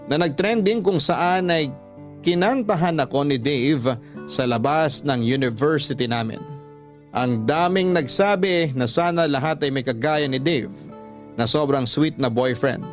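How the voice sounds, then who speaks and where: Male radio storyteller over faint music. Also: a little high. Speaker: radio storyteller reading a letter. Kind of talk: scripted first-person narration of a love story.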